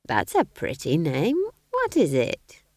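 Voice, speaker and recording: A woman reading aloud, voicing an earthy, bossy older woman witch with a slow, teasing drawl. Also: sits low, wildly swooping pitch, darkish tone, no room sound, studio quality, heavily acted.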